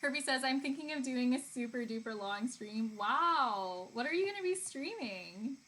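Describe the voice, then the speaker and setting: A woman's voice: high-pitched, animated, moderate pace, poor audio. A woman live streaming art, chatting casually and informally with viewers and reading their comments aloud. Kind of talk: casual chat with stream viewers while drawing. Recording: clear background noise.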